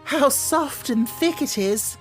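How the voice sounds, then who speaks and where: A woman voicing an imaginative, kind young girl with an excited, earnest manner in an audiobook. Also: high-pitched, takes it slowly, soft background music, voice pushed up, low-quality recording. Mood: delighted. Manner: excited, earnest, make-believe playfulness. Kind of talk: audiobook character acting.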